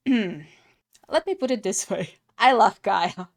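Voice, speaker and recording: A woman giving casual, chatty reaction commentary as the host of a gaming channel, often giggly and quick to add disclaimers. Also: medium pitch, animated.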